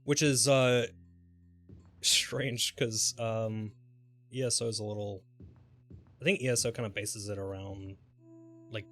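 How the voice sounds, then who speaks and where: A man's voice: lowish voice, steady tone, measured pace, pauses often, fairly bright tone. Rambling male game streamer over music. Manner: rambling, speculative, informal. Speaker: game livestreamer. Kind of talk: casual live gaming stream chat. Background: background music.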